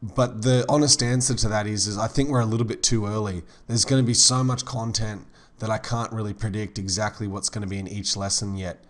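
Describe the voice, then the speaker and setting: A man teaching 3D modeling in a relaxed, rambling tutorial voice-over, slightly hoarse as he loses his voice. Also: on the low side, brisk. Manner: relaxed, rambling, many fillers like "kind of". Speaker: 3D modeling instructor. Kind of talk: casual tutorial narration.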